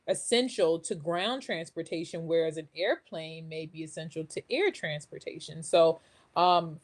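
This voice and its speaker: Woman casually explaining, slightly low voice. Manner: talks through ideas with self-posed questions. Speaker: guest, children's craft maker and educator.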